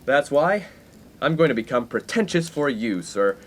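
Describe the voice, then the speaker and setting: A man's voice: mid-range voice, sing-song, moderate pace, fairly bright tone, a little hiss or hum. An actor playing a pompous, skirt-chasing young college man in a 1950s comedic radio play, given to grandiose, wordy speeches. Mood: obsequious.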